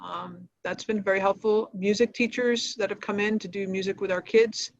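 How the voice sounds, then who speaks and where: A woman answering questions as a nonprofit executive, explaining programs in a halting, matter-of-fact, informal way. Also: mid-range voice, steady tone, creaky. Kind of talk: informal spoken presentation on a video call. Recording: dull, lo-fi livestream audio.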